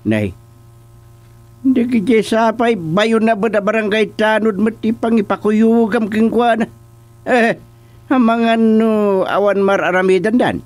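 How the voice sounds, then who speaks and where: Slow-talking, grumbling village chairman. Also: high voice, steady tone, a little mellow, no echo. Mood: doubtful. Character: exasperated male village chairman, a father. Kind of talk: comedic radio drama acting.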